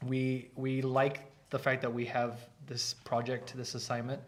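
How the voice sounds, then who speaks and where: Brief: male art instructor, mid-pitched, loose and talkative on a casual stream. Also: monotone, a little slow. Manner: chatty, explains at length with restarts. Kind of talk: casual live stream chat. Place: art academy studio.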